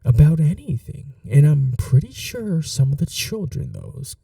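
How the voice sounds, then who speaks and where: A bitter, possessive young vampire, voiced by a man in an ASMR roleplay, talking slowly in a dark, gravelly voice. He speaks softly, almost confessing, and sinks into whispers now and then. The mic is dry and set back a little. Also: a little high, wildly swooping pitch, dry recording, distant mic, exaggerated voice. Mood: bitter confessional. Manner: slow, soft-spoken, confessional, drops to whispers.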